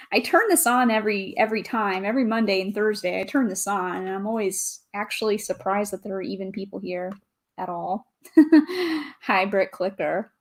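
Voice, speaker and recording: A woman with a calm, soothing voice, chatting casually with viewers as a livestream host, greeting and thanking them as she goes. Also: mid-range voice. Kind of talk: casual livestream chat while building.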